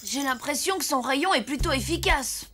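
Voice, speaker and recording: A woman's voice: high voice, animated, moderate pace, music in the background. A woman in a French cartoon dub playing a sensible, conscientious young schoolchild, earnest and reproving.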